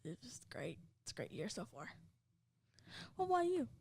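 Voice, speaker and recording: A woman's voice: fairly high pitch, wildly swooping pitch, normal speed, gravelly, a little mellow, slightly rough. A woman in her thirties co-hosting a podcast, chatting casually and rambling with lots of fillers and frequent laughs. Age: 30s. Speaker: podcast co-host. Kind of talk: casual chat.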